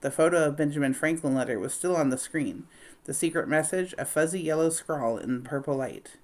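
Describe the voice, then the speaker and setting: A woman's voice: low-pitched, a little fast, darkish tone. A woman narrating a children's book as a read-aloud.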